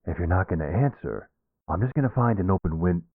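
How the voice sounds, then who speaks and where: A man's voice: deep voice, expressive, fairly quick, no room sound, phone-quality sound. A man acting as a worried, insistent boyfriend in a roleplay audio drama, speaking in an earnest, pleading, exasperated way. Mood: anxious, insistent.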